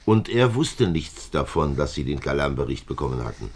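An actor playing a calm, probing police chief in a 1950s German radio drama, gravelly and terse. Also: male voice, a bass voice, exaggerated voice. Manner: terse, measured questioning. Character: calm, probing male police detective chief, middle-aged.

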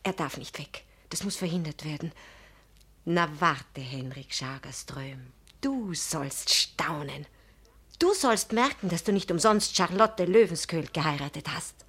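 An actress playing a spirited, determined young bride in a radio play, impulsive and expressive. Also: female voice, low-pitched, a little slow, slightly rough, full character voice.

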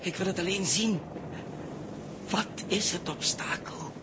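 An actor playing an anguished, injured spaceman in a 1960s radio drama, halting and pleading as he repeats his words. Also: high voice. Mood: frantic. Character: anguished injured young spaceman, guilt-ridden and desperate. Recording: noisy vintage 1960s radio recording.

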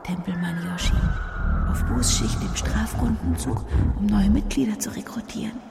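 A woman playing a tough, sharp-tongued female agent in a German radio drama, curt and commanding. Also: medium pitch, normal speed, creaky, in a whisper, natural voice. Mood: decisive, commanding.

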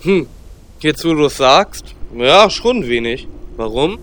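A man's voice: a little high, very animated, slow pace, fairly bright tone, exaggerated voice. A man playing a fearless, scheming, grumbling gnome warlock in a German fantasy parody audio drama, theatrical and irritable. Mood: sheepish, agitated.

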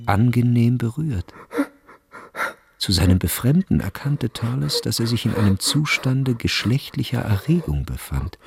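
Creaky-voiced man narrating a literary radio play. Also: medium pitch, a little mellow, no room sound.

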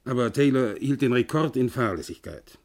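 An actor playing an insistent, self-justifying company boss in a 1960s German radio crime drama, formal and argumentative. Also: male voice, mid-range voice, expressive, moderate pace, darkish tone.

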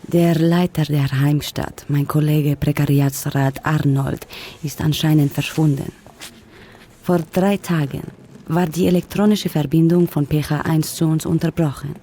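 An actress playing a composed, businesslike government official in a radio drama, measured, discreet and matter-of-fact. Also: female voice, deep voice, fairly even intonation, dark tone. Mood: concerned, matter-of-fact.

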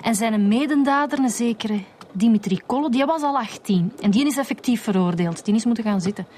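A woman's voice: a little high, brisk, darkish tone, a little hiss or hum, natural voice. A woman playing a grumbling, no-nonsense police inspector in a radio drama, blunt and colloquial with a Flemish accent. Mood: brisk, informative.

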